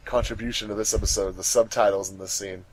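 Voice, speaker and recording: A man's voice: on the low side, steady tone, fast talker, a crowd in the background, poor audio. A man talking casually on a fandub crew's commentary track.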